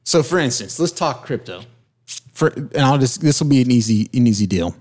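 A man's voice: medium pitch, lively intonation, brisk, a little mellow. Emphatic man arguing his point. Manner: emphatic, keeps interrupting to make his point. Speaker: podcast host. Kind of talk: casual chat.

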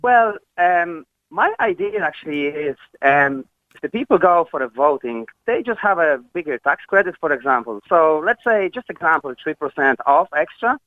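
A man's voice: fairly high pitch, very animated, moderate pace, no echo. A man from Poland with a Polish accent, calling in to a radio show and speaking hesitant, broken English full of fillers. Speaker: caller. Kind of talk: phone-in call to a radio show. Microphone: phone line. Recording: tinny phone line.

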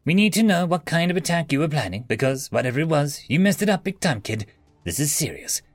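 A man's voice: on the high side, big pitch swings, a little fast, no room sound, faint music behind, pitched above the performer's own voice. Man playing a stern, formal interrogator. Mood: stern, grave. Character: stern male interrogator, businesslike and unyielding. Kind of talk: acted story dialogue.

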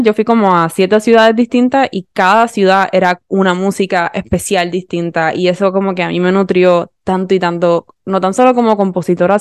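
A woman with a Puerto Rican accent chatting casually as a podcast guest, expressive and slipping English phrases into her Spanish. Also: mid-range voice, smooth, dry recording, studio quality. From Puerto Rico. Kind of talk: casual chat, telling travel anecdotes.

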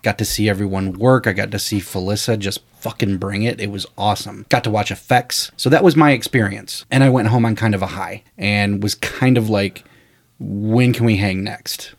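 A man's voice: lowish voice, a little fast. A man co-hosting a podcast, telling stories from his screenwriting career in a rambling, casual way. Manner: rambling, animated storyteller with frequent "you know" and "uh". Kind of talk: casual storytelling chat.

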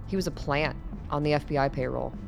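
A man's voice: fairly high pitch, brisk, creaky, soft background music. An actor playing an earnest, educated man, curious and serious, in radio play dialogue.

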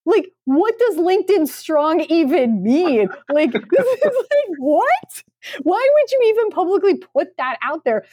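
A woman co-hosting a podcast in casual chat, expressive and incredulous in the way she talks. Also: very high voice, unhurried. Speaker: podcast host.

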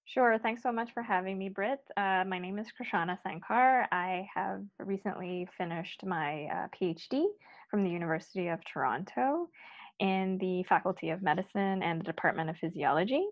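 A woman's voice: medium pitch, normal speed, no room sound. A young woman from Guyana, a guest on a podcast, talking earnestly with many fillers. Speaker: guest, recent PhD graduate. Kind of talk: casual podcast interview. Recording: dull, dry lo-fi sound.

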